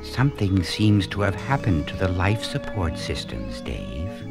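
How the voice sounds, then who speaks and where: A man voicing a calm, polite, menacing ship's computer with a measured, unemotional delivery in a children's read-along audio drama. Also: deep voice, creaky, full character voice. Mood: calm, cold. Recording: music under the voice.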